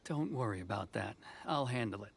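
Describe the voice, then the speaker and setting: An actor playing a serious, conflicted young officer in an anime game, with a formal, restrained manner. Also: male voice, on the low side, lively intonation, a little fast, vocal fry, a little hiss or hum. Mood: resigned, reflective. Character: earnest, conflicted young male commission officer. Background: background music.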